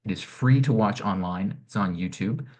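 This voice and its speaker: A man with a deep, low voice walks through arts programs in an online info session, speaking into a computer mic. He explains things in a measured way, with frequent small fillers as he goes. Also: darkish tone. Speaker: director of programming presenting an arts network. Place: home or office, online session. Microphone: computer mic on a video call.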